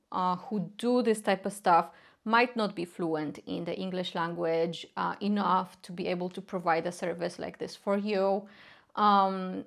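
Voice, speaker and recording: Polish-accented young woman, earnest, halting fillers, mid-range voice, casual explainer. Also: medium pitch, gravelly. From Poland. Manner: earnest, frequent filler sounds. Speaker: folk magic educator. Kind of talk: casual explanatory talk to camera.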